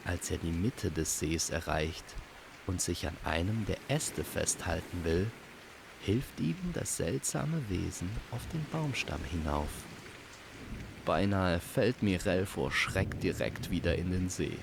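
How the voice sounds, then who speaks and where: A man narrating a scripted fantasy story in a measured, descriptive storytelling manner. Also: deep voice, creaky, noisy background.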